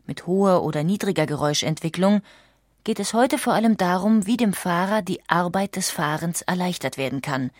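Female documentary narrator, lowish voice, composed literary delivery for an audiobook. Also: dry recording, clean studio sound. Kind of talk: scripted documentary narration with read quotations.

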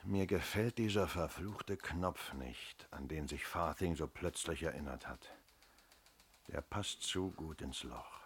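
An actor playing a sceptical, methodical police inspector in a 1970s German radio play, deliberate and authoritative, with a husky voice. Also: male voice, a bass voice, a little hiss or hum, exaggerated voice. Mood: sceptical, brooding.

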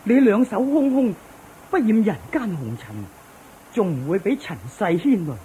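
A woman's voice: medium pitch, animated, moderate pace, slight background noise, lightly acted, rough recording. A woman playing a proud, boastful rich landlord in a Cantonese radio drama. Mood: humbled. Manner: boastful, shouting at the sky.

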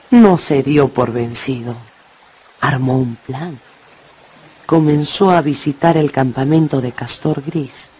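A man narrating a dramatised radio story with theatrical, deliberate phrasing. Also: a little high, a little mellow, breathy edge. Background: music.

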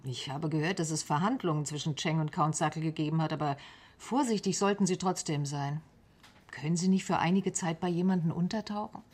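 Husky, composed private detective. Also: female voice, sits low, fairly quick, darkish tone. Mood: helpful, calm. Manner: matter-of-fact, composed. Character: level-headed, pragmatic female private detective. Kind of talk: radio play acting in dialogue.

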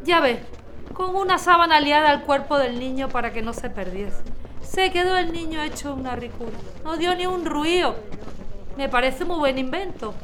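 A woman's voice: sits high, lively intonation, normal speed, fairly bright tone, a little hiss or hum. An actress playing a doting village mother fleeing war in an audio drama, colloquial and emotive. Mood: chatty. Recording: crowd and faint music behind.

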